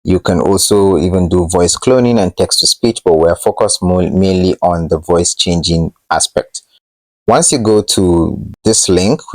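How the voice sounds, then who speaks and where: Male tutorial host, low register, informal and slightly hesitant delivery, dry room-free sound. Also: a little mellow, no echo. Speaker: host of a tech tutorial channel. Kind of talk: casual screen-share tutorial narration.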